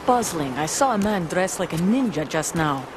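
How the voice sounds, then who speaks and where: A woman's voice: lowish voice, expressive, normal speed, noisy background, natural voice. An actress playing a blunt, distrustful woman soldier in a video game. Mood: puzzled, irritated.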